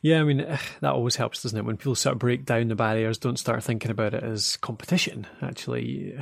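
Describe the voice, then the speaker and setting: A man's voice: medium pitch, fairly even intonation, a little fast, vocal fry, no echo, studio quality. A man hosting a podcast interview in an informal, conversational way, often turning statements into tag questions.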